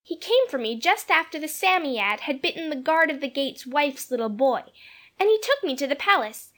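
A man doing a high, lively little girl. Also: moderate pace, heavily acted. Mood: eager. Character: chatty eager little girl. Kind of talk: audiobook character voice.

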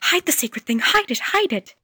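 A secretive, frightened girl from long ago, as a male narrator puts her on, her voice raised fairly high and dry. She pleads in urgent, hushed tones, quick to warn of danger. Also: no room sound. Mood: terrified.

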